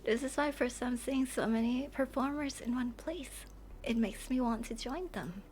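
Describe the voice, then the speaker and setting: A woman voicing a gentle, caring young female game character in a soft, breathy voice for a fan dub. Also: on the high side, moderate pace, a little hiss or hum, lightly acted. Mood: shy, modest. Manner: gentle, earnest.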